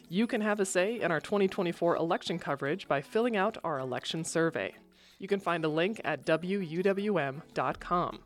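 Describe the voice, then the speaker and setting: A woman host reads the show's closing announcements in a smooth, promotional sign-off. Her voice is of middle pitch, with light music beneath. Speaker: radio show host.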